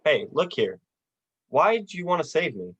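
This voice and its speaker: A young performer playing a despairing, sardonic family man in a radio play, with halting, dry delivery. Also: medium pitch, big pitch swings, fairly bright tone, breathy edge. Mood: sardonic, incredulous. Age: teens or young adult. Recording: dull, lo-fi video call audio.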